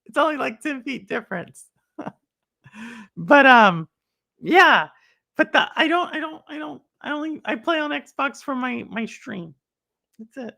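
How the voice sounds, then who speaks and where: A woman's voice: high voice, expressive, unhurried, gravelly, long pauses, bright, forceful tone, no echo, clean studio sound. A woman from Texas with a Texan accent, chatting casually on a live stream as host, reading chat and laughing.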